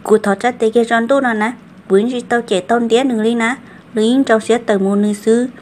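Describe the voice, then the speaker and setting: A woman's voice: a little high, steady tone, a little fast, a little mellow, slight background noise, close to an everyday voice. A woman voicing a female character in a family drama cartoon, in dramatic dialogue. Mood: conversational.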